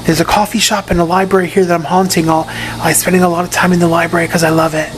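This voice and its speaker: A man with a hoarse, raspy voice giving a casual video update from his room in a rehab facility, often repeating and restarting his words. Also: high voice, flat delivery, fast talker. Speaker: patient giving a recovery update.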